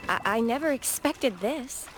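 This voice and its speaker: An earnest young woman in a game story scene. Her voice is high and gentle, and she speaks with some hesitation. Noticeable ambient noise sits behind her. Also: lively intonation, a little slow. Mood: awed, wistful. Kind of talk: scripted game dialogue.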